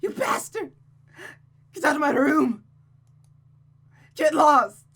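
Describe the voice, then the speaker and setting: Shrill, unhinged young noblewoman. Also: animated, slow, a bit bright, full character voice. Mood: furious, screaming. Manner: shrill, pleading, prone to shrieking. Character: unhinged young noblewoman, lovesick, frantic, volatile. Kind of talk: dramatic visual novel read-aloud.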